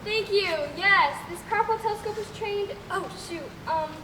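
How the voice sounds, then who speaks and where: Very high, halting astronomer played by a young woman. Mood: muddled, cheerful. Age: young adult. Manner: halting, muddled recitation. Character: clueless, stiff, veteran woman astronomer in radio play. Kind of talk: stage comedy acting. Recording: echoey noisy hall, far mic.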